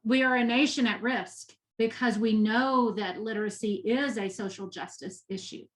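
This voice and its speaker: A woman's voice: on the high side, fairly even intonation, normal speed. This is a woman with a Texas accent, talking as an interview guest. She speaks with conviction and uses repetition to drive her points home. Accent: Texan. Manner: passionate, emphatic, repeats for emphasis. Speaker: guest, nonprofit education executive.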